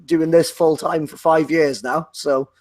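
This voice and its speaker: A man's voice: on the high side, steady tone, unhurried, a little mellow, no echo. A young adult man from South Wales with a Welsh accent, chatting as a podcast guest and rambling with lots of fillers and restarts. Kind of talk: casual chat.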